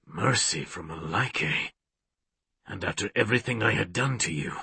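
A man voicing a cold, creepy male hybrid villain, a menacing and deliberate father figure in an audiobook. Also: lowish voice, expressive, gravelly, bright, forceful tone, husky, no room sound, voice pushed down, heavily acted. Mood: coldly taunting.

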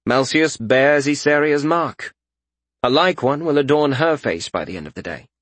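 A male narrator voicing Rezkin, a detached young king who speaks in a calm, dry way. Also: fairly high pitch, moderate pace, dry recording. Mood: deadpan.

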